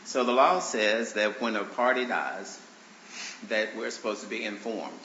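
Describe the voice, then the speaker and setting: A man with a low voice, congested and a little deeper than usual from allergies, argues his case in a courtroom. He talks haltingly and earnestly, with a forceful edge, through fillers and restarts. The sound is roomy and dull, picked up by a courtroom stand microphone. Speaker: plaintiff arguing his own motions. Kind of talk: courtroom argument. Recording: roomy, dull courtroom recording with faint hiss.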